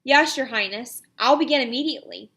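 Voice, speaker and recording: A woman's voice: sits high, fairly quick, a bit bright. A woman voicing a loyal, respectful tailor in a picture book, answering his king promptly and with deference. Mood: eager, obedient.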